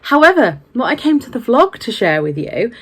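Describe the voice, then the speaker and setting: A woman's voice: a little high, big pitch swings, moderate pace, husky. A middle-aged woman with a British accent chatting casually to camera as a vlogger, candid and self-deprecating. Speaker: book vlogger. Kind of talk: casual vlog chat to camera.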